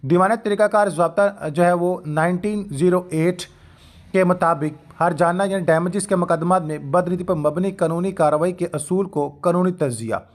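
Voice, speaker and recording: A man's voice: high voice, moderate pace. A man with a Pakistani accent lecturing on law, formally reading out notes and breaking off to explain points directly to students. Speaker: law lecturer and channel host. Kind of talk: lecture mixing read-out legal notes with explanatory asides.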